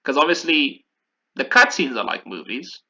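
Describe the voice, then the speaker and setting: A man's voice: on the high side, sing-song, moderate pace, bright, forceful tone, dull audio. A man hosting a podcast, talking casually and with opinions about video games, often repeating phrases for emphasis. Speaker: podcast host.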